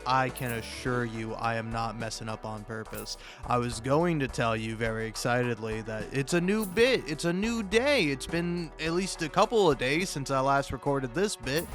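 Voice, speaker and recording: A man doing casual, comedic, rambling gaming commentary, halting and stammering, self-deprecating and freely profane. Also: mid-range voice, a bit bright. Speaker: video creator and host.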